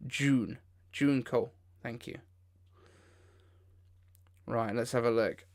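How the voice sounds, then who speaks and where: A man with a mid-pitched voice hosts a live reaction stream. He talks informally and off the cuff, with an incredulous edge to his commentary. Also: fairly even intonation, slow pace, darkish tone. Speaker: livestream host.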